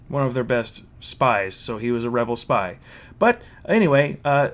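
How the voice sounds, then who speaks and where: A man casually recapping a story as a reviewer, with an animated manner and mocking asides. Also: medium pitch. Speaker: reviewer hosting a fan recap. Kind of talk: casual spoken plot recap and commentary.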